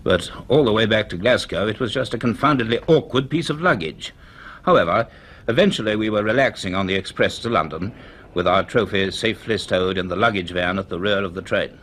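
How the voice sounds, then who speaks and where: Low-voiced, donnish male professor. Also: fairly bright tone. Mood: reflective, uneasy. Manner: measured, donnish, deliberate. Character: inquisitive, authoritative male university professor and scientist narrating his tale. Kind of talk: radio drama acting and reflective first-person narration.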